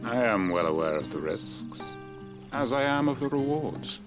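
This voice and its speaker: A man playing a shrewd, composed tavern keeper in a video game, speaking in a deliberate, formal manner. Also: medium pitch, very animated, over music, close to an everyday voice. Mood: shrewd interest. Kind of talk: dramatic video game cutscene acting.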